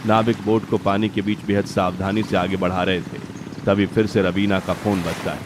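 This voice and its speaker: A man's voice: low-pitched, little pitch movement, normal speed, background noise. A man reading a scripted, dramatic story narration.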